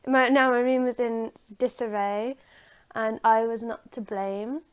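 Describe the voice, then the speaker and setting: Hesitant, slow-paced woman retelling a story. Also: on the high side, fairly even intonation, clear, resonant tone. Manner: halting, hesitant, searching for words.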